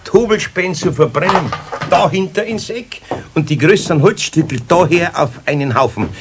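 Older bavarian carpenter, homely and wry, super lively intonation, bit of noise on an old kids radio play. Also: male voice, fairly high pitch, normal speed. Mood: instructing. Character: good-natured older male master carpenter, wryly strict. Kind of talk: 1970s German children's radio play acting.

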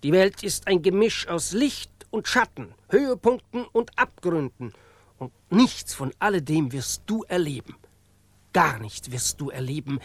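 Exasperated grandiose wizard, studio-dry. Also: male voice, fairly high pitch, dry recording, heavily acted. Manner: theatrical, grumbling, solemnly prophetic. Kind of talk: radio play acting.

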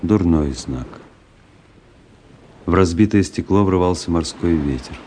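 An actor playing a reflective army officer who narrates his travels in a literary radio drama, pensive and measured. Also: sits low, warm tone, slight background noise. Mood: pensive, uneasy.

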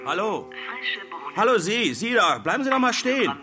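An actor playing an aggrieved, confrontational working man at his wits' end in a radio drama, forceful and accusing. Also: high voice, sing-song, moderate pace, over music. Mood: insistent.

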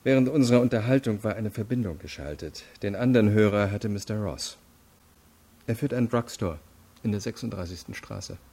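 An actor playing an unyielding deputy prosecutor in a radio crime drama, laying out facts in a firm, deliberate way. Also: male voice, fairly low pitch, lively intonation, warm tone. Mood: firm, methodical. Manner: matter-of-fact, deliberate, firm. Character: methodical, unyielding male deputy prosecutor interrogating.

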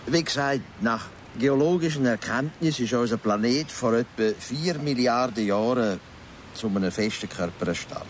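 A man's voice: mid-range voice, moderate pace, slight background noise, lightly acted. An actor playing an educated, talkative man in a radio drama, speaking Swiss German in a knowing way. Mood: lecturing. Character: educated, talkative man, courting but quick to bristle.

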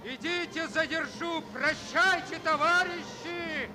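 A hot-blooded soldier in an old Soviet radio war play. His voice is strained very high and declamatory, with music behind it. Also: slow pace. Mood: defiant, resolute. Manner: declamatory battle shouting. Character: defiant, hot-blooded male Soviet border guard in battle. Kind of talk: 1950s Soviet radio drama acting.

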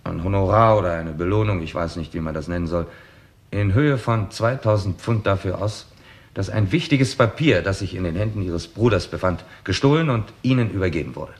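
Give the impression of a composed male detective in a sixties radio crime drama. His voice is fairly low, his formal and exact. He lays out a case methodically, pressing with rhetorical questions. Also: fairly quick. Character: confident male police detective laying out a case, probing and composed. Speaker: actor in a crime radio play. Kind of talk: 1960s German radio drama acting.

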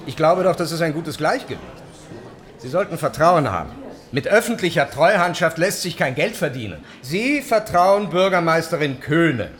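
An actor playing a self-assured, argumentative corporate manager in a modern radio drama. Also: male voice, fairly high pitch, a bit bright. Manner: insistent, rhetorical, argumentative.

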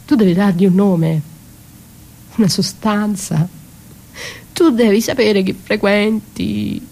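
A woman's voice: medium pitch, steady tone, gravelly, warm tone. A woman performing a dramatic radio theatre monologue as a battered wife, wounded, accusing and defiant, with intense delivery. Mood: menacing, interrogating. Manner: slow, intense delivery swinging from whispers to cries. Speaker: stage actress performing a solo monologue. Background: music.

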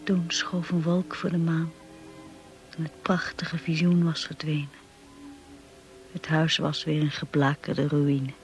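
An actress playing a wistful, shy young woman narrating her memories in a radio drama, hushed, breathy and dreamy. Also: sits low, little pitch movement, slow, long pauses, faint music behind.

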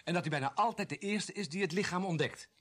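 A man's voice: sits high, quick pace, a bit bright. An actor in a radio play playing an earnest, insistent junior policeman, suspicious and dutiful. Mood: hesitant, suspicious. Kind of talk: naturalistic radio play acting.

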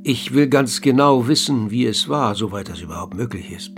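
An actor playing a courteous, inquisitive writer who narrates a radio play and talks with the dead in a measured, polite way. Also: male voice, medium pitch, lively intonation, faint music behind, natural voice. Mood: courteous, earnest.

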